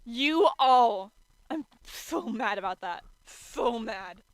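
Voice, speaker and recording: A woman's voice: fairly high pitch, lively intonation, takes it slowly, no room sound. A woman doing an amateur dramatic reading on a stream, stumbling over words and theatrically exasperated. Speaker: streamer reading fan fiction aloud.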